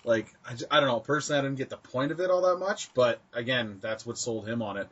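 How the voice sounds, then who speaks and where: A Canadian man co-hosting a gaming podcast in a relaxed, conversational tone with some dry humor. His voice sits at a middling pitch, and the recording sounds dull and lo-fi. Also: fast talker, husky. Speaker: podcast co-host. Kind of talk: casual gaming chat.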